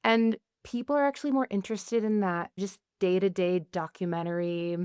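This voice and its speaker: Podcast guest, woman with a medium-pitched voice, loose and chatty, lots of fillers. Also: fairly even intonation, normal speed, a little mellow, clear, resonant tone, no room sound, studio quality. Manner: chatty, lots of likes and you-knows. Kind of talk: casual interview chat.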